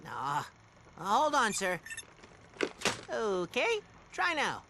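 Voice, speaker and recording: A man playing an excitable, loyal and nervous small robot assistant in a video game cutscene, gushing and deferential. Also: high-pitched, drawn-out words, vocal fry, noisy background, full character voice. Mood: eager.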